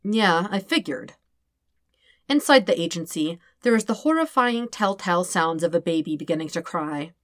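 A woman reading fan fiction aloud as an expressive narrator. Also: lowish voice, a little fast, hardly any pauses, dry recording. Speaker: podfic reader.

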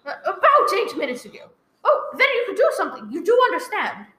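A woman's voice: very high voice, sing-song, fairly quick, sharp tone, heavily acted. A woman playing an anxious, imperious, bedridden wife in a radio thriller, stammering and easily exasperated. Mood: agitated. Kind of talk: old radio thriller acting. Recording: roomy echo, live one-take recording.

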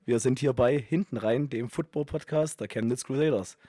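A man's voice: mid-range voice, moderate pace, dark tone, no room sound, clean studio sound. A man in his thirties hosting a casual podcast chat and cracking jokes as he talks. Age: 30s. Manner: joking, chatty. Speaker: podcast host and linebacker coach.

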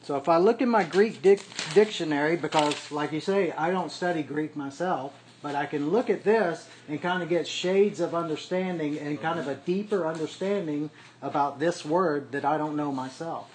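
A man's voice: fairly high pitch, normal speed, a little mellow, slight background noise. Man teaching a casual Bible study. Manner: conversational, explains step by step, checks in with "okay?" and "right?". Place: room with a small group.

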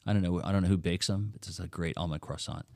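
An older man from the Netherlands, a pastor chatting as a podcast guest, telling stories in an animated, jokey way. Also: low voice, fast talker, gravelly, no echo, clean studio sound. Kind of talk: casual interview chat.

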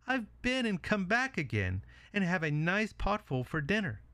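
A man's voice: high voice, very animated, normal speed, bright, forceful tone, dry recording, voice pushed up, full character voice. A man voicing a small, polite, plucky little pig from a storybook, with an eager, fretful delivery.

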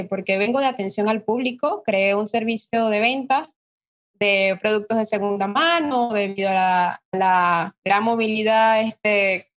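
Venezuelan woman, higher voice, dull audio. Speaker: podcast guest, online marketer. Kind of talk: casual conversational interview. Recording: dull, lo-fi online call audio.

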